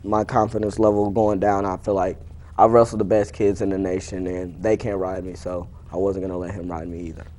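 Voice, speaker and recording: Low-pitched young male athlete, casual. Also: little pitch movement, fairly quick, darkish tone, slight background noise. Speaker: wrestler being interviewed. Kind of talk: casual interview answers, recounting matches.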